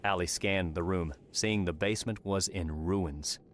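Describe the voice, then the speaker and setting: A man's voice: low voice, measured pace. A man narrating a scripted fantasy story as an audiobook narrator. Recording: clearly noisy background.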